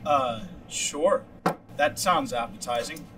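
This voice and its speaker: An actor with an American accent playing a sardonic, teasing brother in a naturalistic drama. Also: male voice, medium pitch, takes it slowly, fairly bright tone, a little hiss or hum. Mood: polite. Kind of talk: naturalistic film dialogue.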